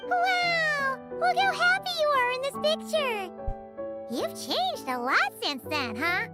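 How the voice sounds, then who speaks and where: A woman's voice: very high voice, sing-song, takes it slowly, full character voice. A woman voicing a small, cheerful, childlike fairy companion in a video game, curious and bubbly. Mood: teasing. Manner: bubbly, exclaiming, teasing. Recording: music playing behind the voice.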